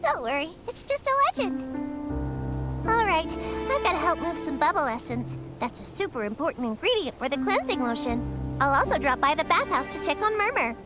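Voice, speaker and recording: A woman voicing a small, excitable young village creature in a video game, chatting and telling tales in an animated, earnest way. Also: sits high, fairly quick. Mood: excited storytelling. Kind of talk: video game character dialogue. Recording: game music behind the voice.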